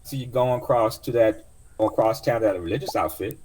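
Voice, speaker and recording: A man's voice: a little fast, darkish tone, close to an everyday voice. A man voicing an older woman taxi driver, mystical and sassy, who calls everyone darling in a drawling, folksy way. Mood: teasing. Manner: drawling, folksy sayings, high put-on voice.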